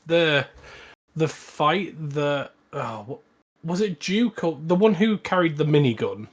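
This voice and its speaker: Male podcast co-host, slightly high voice, casual film chat. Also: animated, measured pace.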